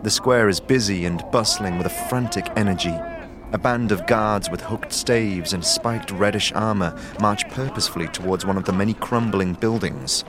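A man with a very deep voice reads scripted narration in a steady, descriptive way. Faint music plays quietly beneath him. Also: normal speed. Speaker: narrator and producer.

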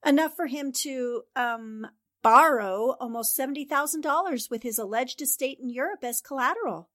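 A woman's voice: high voice, normal speed, a bit bright, no room sound, studio quality. A woman acting a determined, easily exasperated newspaper reporter in a 1940s-style radio drama.

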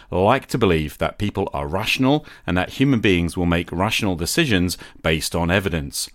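A man hosting a podcast, delivering a scripted, reflective monologue in a deliberate, explanatory way that addresses the listener directly. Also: sits low, dry recording, clean studio sound. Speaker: podcast host.

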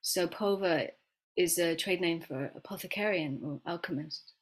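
A woman's voice: fairly low pitch, normal speed. A woman herbalist who grew up in Florida and England, telling her story as an interview guest in a reflective, hesitant way. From grew up in Florida, then England. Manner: reflective, hesitant storyteller with many fillers and restarts. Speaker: guest, herbalist and medicine maker. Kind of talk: conversational interview.

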